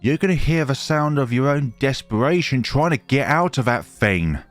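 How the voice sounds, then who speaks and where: A man gives informal gaming commentary with a lively, swooping delivery. He talks casually and incredulously, with faint music in the background. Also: medium pitch, normal speed. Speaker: gaming streamer. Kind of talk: casual let's play commentary.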